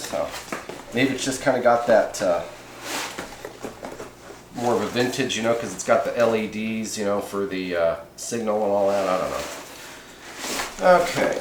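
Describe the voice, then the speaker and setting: Guy doing a laid back product review, kinda rambling, average pitch, echoey room. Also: noisy background. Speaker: host of CB radio review videos. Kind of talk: casual off-the-cuff product review.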